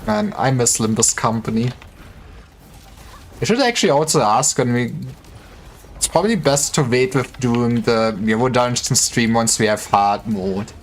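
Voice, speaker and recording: A man's voice: mid-range voice, animated, a little slow, slight background noise. A man livestreaming games, chatting casually in a joking, rambling way with some stammering. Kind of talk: casual gaming livestream chat.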